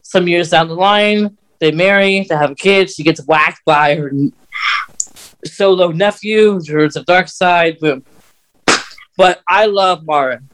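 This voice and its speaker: A man co-hosting a podcast, explaining fan lore casually in a halting way with pauses mid-sentence. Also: high-pitched, a bit bright, a little hiss or hum. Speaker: podcast co-host.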